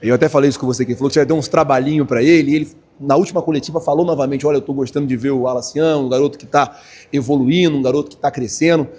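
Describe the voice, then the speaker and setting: Male sports reporter, quick and husky, rambling post-match questions. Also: mid-range voice, steady tone, a little mellow. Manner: long, wordy questions.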